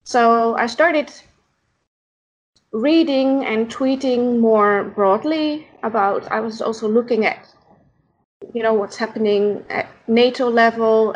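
A Dutch woman with a Dutch accent, a podcast guest who speaks in a halting, thoughtful way while searching for words. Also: a little high, dull audio. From Netherlands. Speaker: podcast guest, founder of an organization. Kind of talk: casual interview conversation.

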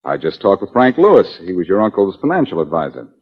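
A man's voice: low voice, very animated, fast talker, slightly rough, full character voice. A man playing a brash, wisecracking amateur detective in a 1940s radio drama, with a wry, needling and assured manner. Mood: needling, cocky. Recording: muffled, dull 1940s radio recording.

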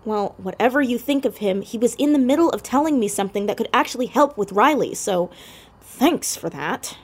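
An actress playing a persistent, inquisitive teenage girl sleuth in an audio drama, earnest and halting as she probes. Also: on the high side, expressive, husky. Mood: exasperated, curious.

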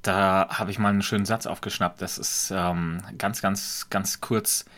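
Low-voiced man, light Franconian lilt. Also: fairly even intonation, a bit bright. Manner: reflective, measured, explains with examples. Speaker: podcast guest. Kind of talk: conversational podcast talk.

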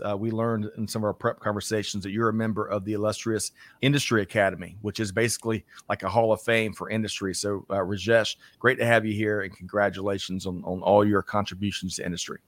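A man's voice: on the low side, fairly even intonation, fairly quick, hardly any pauses, no echo. A man hosting a casual business talk show, introducing guests with upbeat energy and frequent laughter. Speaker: podcast host.

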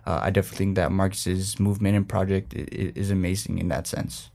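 Young man, Spanish first language, low voice, flat delivery, earnest. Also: quick pace, creaky. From Colombia. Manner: earnest, many restarts and fillers. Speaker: guest, former student drummer. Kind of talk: interview answers.

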